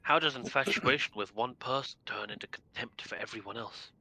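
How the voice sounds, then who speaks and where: A British man voicing an earnest young prince, reading his lines in a solemn, measured way. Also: mid-range voice, sharp tone, no room sound, voice pushed down.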